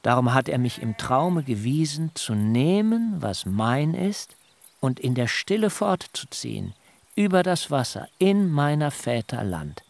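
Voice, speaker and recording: A man playing a weary, solemn patriarch shepherd in a literary radio drama, speaking in measured, deliberate phrases. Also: mid-range voice, big pitch swings, gravelly, dry recording. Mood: solemn, resolute. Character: Jakob, weary, solemn patriarch shepherd pleading his case.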